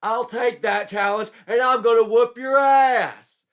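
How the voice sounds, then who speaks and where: A man's voice: extremely high pitch, steady tone, unhurried, fairly bright tone, pitched above the performer's own voice. A man voicing a brash, boastful young wrestler and suitor in a storybook tale with bombastic declarations. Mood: boastful fury.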